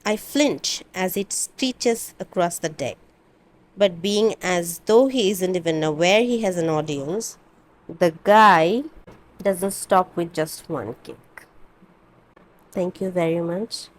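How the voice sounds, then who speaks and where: A woman reading a first-person novel aloud in an expressive, dramatic amateur audiobook style. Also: on the low side, slow pace, poor audio. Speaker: amateur book reader.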